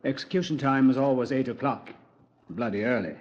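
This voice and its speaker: Actor as a genial British police commander, muffled. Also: male voice, mid-range voice, a little fast, lightly acted. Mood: genial, matter-of-fact. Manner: chatty, understated, hesitant. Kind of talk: 1950s radio drama acting. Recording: muffled vintage radio recording.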